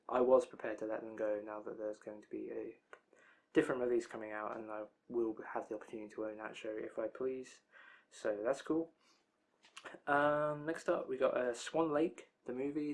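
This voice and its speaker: A man's voice: medium pitch, darkish tone. A young man with a tired, worn-out voice, rambling casually through an anime release news roundup with loose asides.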